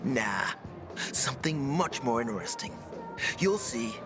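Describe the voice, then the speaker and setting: A man's voice: medium pitch, very animated, unhurried, over music. A man playing a young apprentice inventor in a video game, chatty, earnest and unsure of himself. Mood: earnest, hopeful. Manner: chatty, earnest, self-doubting. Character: young, eager, self-doubting male apprentice inventor. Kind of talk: video game cutscene acting.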